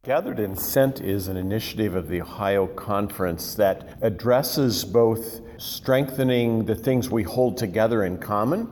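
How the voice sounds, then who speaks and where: A regional pastor with a low voice gives a measured explanation of a church initiative. His voice rings with a long reverb and has a faint rumble behind it. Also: a little mellow. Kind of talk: podcast interview.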